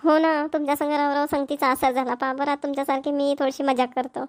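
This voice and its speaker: Chatty, agreeable village wife, played by a woman, very high pitch, rural Maharashtrian accent, cartoon. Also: little pitch movement, fast, lightly acted. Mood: teasing, agreeable. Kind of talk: cartoon family dialogue.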